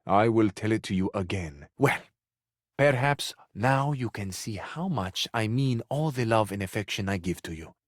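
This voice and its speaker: An actor playing a romantic Italian lover in a video game, with an Italian accent and a flowery, courtly, tenderly flirtatious manner. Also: male voice, fairly low pitch, lively intonation, moderate pace, no echo, clean studio sound. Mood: yearning, flirtatious. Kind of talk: video game character acting, romantic dialogue.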